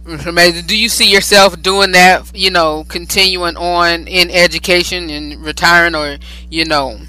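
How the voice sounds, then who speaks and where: A talk-show host, a man, rambles through his questions with many fillers and steady affirmations. His voice sits fairly high for a man and sounds very bright. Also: normal speed, smooth, rough recording. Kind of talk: interview conversation.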